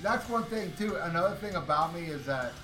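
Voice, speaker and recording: A man co-hosting a podcast, talking in a loud, powerful voice in a brash, profane, boastful way. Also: a little high, animated, a little fast, echoey room, over music. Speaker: podcast co-host. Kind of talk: casual podcast chat.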